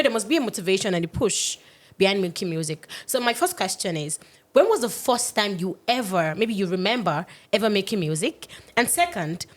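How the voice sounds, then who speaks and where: A woman hosting a podcast interview, enthusiastic, asking questions and restating them. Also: medium pitch. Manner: enthusiastic, repeats questions, frequent affirmations. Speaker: podcast host.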